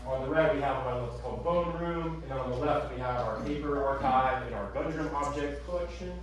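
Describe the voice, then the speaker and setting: A man giving a lively museum talk with slides to an audience, chatty and energetic. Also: mid-range voice, brisk. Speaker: museum staff presenter. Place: meeting room with audience. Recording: echoey room, far from mic.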